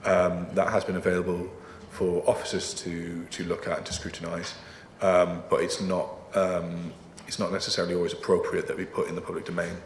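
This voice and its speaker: A man's voice: deep voice, completely flat delivery, brisk, few pauses, roomy sound, big-room sound, slight background noise. A man working as a planning officer, explaining procedure formally and carefully, with frequent ums. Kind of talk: explaining procedure in a council meeting.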